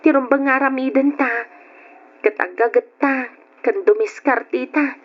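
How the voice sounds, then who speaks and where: A woman's voice: high-pitched, steady tone, measured pace. A woman playing a young, hardworking, tender and anxious daughter in an old radio drama, with an Ilocano accent. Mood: weary resolve. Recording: dull noisy old radio recording.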